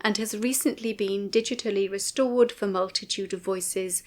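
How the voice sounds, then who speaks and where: A woman narrating a scripted commentary in a formal, measured way, phrasing each sentence with care. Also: medium pitch, steady tone. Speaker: writer and narrator of a music commentary.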